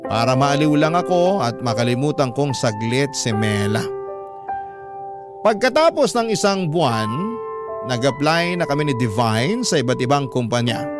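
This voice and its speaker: Isang lalaking aktor na gumaganap bilang binatang nagbabalik-tanaw sa kanyang pag-ibig sa isang dramang panradyo. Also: male voice, mid-range voice, expressive, moderate pace, lightly acted. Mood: wistful, reflective. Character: wistful young man recounting his love story. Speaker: narrator of a radio love story. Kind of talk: Tagalog radio drama first-person narration. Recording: music playing clearly behind the voice. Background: music.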